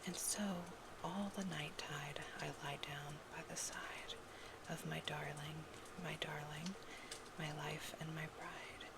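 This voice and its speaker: A woman gives a slow, gentle ASMR poetry reading in a breathy, intimate whisper. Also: low voice, steady tone. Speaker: ASMR roleplay host reading love poems. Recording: loud ambient noise, crackling fireplace ASMR sounds. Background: fireplace ambience, scratching and tapping.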